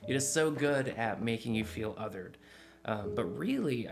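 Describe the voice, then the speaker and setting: A man in his forties with a low, gravelly voice speaks earnestly in a casual vlog, full of fillers and restarts. He sits close to a desk mic in a small dim room at home. Guitar music plays clearly under his talk. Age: 40s. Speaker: vlogger. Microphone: desk mic in front, close camera framing.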